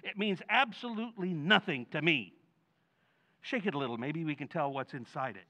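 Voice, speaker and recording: A blustering yet sheepish working-class husband from a radio comedy, played by a man. His voice is bright and swings around expressively, with folksy, ungrammatical talk. The sound is a slightly dull, lo-fi recording. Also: fairly high pitch, lively intonation, normal speed. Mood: indignant, curious. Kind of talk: old-time radio comedy acting.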